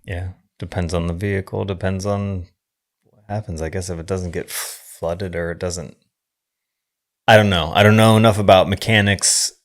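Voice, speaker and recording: Deep voiced guy on a movie podcast, informal, thinks out loud, sorta halting. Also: steady tone. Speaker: podcast co-host discussing films. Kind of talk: casual chat analysing a film.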